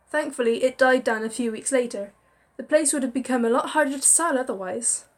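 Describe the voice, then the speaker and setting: A woman reading a visual novel aloud with expressive storytelling and chatty asides. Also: on the high side. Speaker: game narrator.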